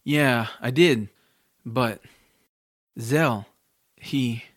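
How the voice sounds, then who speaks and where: A man's voice: medium pitch, very slow, no room sound, studio quality. A man playing an earnest, eager young boy hero in a family fantasy audiobook drama. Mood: troubled. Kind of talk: audiobook drama character acting.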